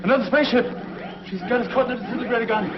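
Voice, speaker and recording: A man's voice: very high voice, very fast. An actor playing a panicked spaceship crewman in a 1950s television adventure. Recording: echoey, muffled, very noisy 1950s soundtrack.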